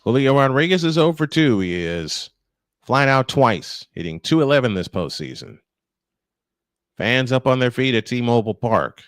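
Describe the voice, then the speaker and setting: A man's voice: mid-range voice, sing-song, normal speed, clean tone, no room sound. A man calling a baseball game live as play-by-play announcer, with conversational, opinionated asides. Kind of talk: live sports commentary.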